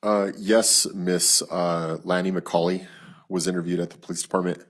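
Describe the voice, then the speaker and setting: A man with an American accent giving formal, halting, matter-of-fact courtroom testimony as a police detective. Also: deep voice, little pitch movement.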